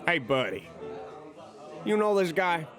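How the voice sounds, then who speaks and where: A man's voice: a little high, very animated, slow pace, music in the background. An actor playing a crude, suspicious mob boss in an audio drama, threatening and sneering in slangy tough-guy talk.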